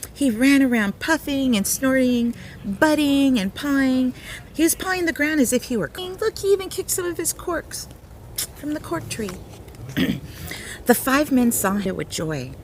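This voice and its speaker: A woman with a very lively, swooping delivery reads a children's picture book aloud as a storytime host. She is expressive and breaks off into simple asides as if showing things to little listeners. Some background noise sits behind her voice. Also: sits high, unhurried. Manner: expressive, simple explanations and exclamations, as to young children.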